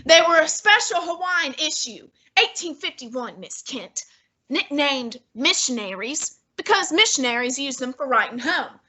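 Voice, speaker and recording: A woman playing a pompous male genius detective, using precise and measured diction in a student radio drama. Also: high voice, very animated, pushed and bright, heavily acted, poor audio. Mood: deducing. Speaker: student actor.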